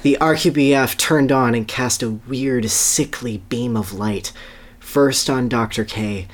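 A podcast host giving an animated dramatic reading of a story, with amused asides. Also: mid-range voice, unhurried.

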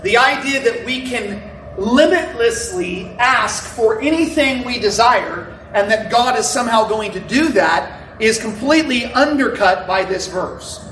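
A man preaches in a loud, chatty style and keeps turning to the congregation. His voice sits high and carries through a reverberant sanctuary. The mic is well away from him, and faint room ambience is heard behind. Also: lively intonation, unhurried, slight background noise. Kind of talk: sermon. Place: church sanctuary. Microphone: no microphone, recorded from a distance. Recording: roomy, distant church recording.

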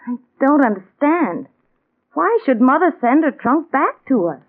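An actress playing an anxious wife with an American accent, worried and plaintive, in 1940s radio drama. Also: female voice, high voice, sing-song, a little slow, a little hiss or hum, full character voice. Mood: puzzled, insistent. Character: anxious, fretful wife searching for her missing mother. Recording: tinny narrow-band old radio recording.

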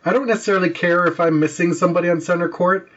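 Casual male podcast host, slightly high. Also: steady tone, very fast, a bit bright, muffled recording. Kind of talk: casual chat.

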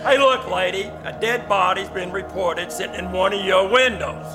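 A man's voice: high-pitched, moderate pace, music in the background, lightly acted. A man playing a brusque, impatient, streetwise police officer in a live old-time radio suspense play. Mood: impatient, demanding. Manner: blunt, streetwise, commanding.

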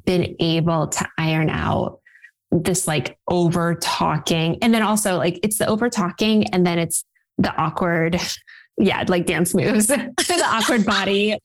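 An energetic woman whose first language is Russian chats as an interview guest. Her voice sits a little low, and she laughs often and scatters fillers through her sentences. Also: unhurried. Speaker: guest, executive coach. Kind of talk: casual interview talk.

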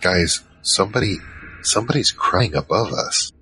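An actor playing a giant troll, worried and soft-hearted, narrating in the first person in an audio drama. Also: male voice, low-pitched, expressive, moderate pace, a little hiss or hum, rough recording. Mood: anxious. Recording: music behind.

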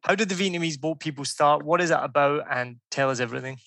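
A man's voice: fairly high pitch, fast talker, gravelly, a bit bright, no echo. A Scottish man hosting a podcast, talking eagerly and rambling, often breaking off to promise he will stop talking. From Scotland. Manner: enthusiastic, rambling, self-interrupting. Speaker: podcast host. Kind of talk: conversational interview.

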